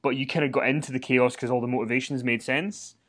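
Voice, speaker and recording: A man's voice: mid-range voice, steady tone, quick pace, a bit bright. A man co-hosting a wrestling podcast from a hotel room, chatting casually and rambling with many fillers and restarts. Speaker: podcast co-host. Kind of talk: casual wrestling recap chat.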